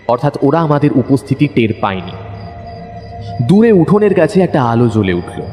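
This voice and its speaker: Casting: male narrator for a mystery audio story, mid-range voice, dramatic and suspenseful, echoing with music behind. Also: medium pitch. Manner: suspenseful, expressive. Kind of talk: dramatic scripted first-person detective story narration. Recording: long reverb, music under narration.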